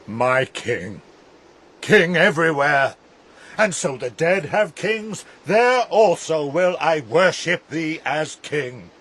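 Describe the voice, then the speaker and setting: An actor playing a loyal, devoted knight, speaking solemn and reverent verse in a radio drama. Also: male voice, on the high side, sing-song, takes it slowly, a bit bright, full character voice. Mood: devoted, earnest. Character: loyal, devoted knight serving his king.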